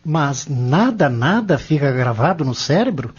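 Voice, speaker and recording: A man's voice: a little high, very animated, measured pace, breathy edge, exaggerated voice. A man playing an eager young student in an audio drama, questioning his teacher respectfully and inquisitively. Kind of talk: scripted audio drama dialogue. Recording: dull lo-fi audio.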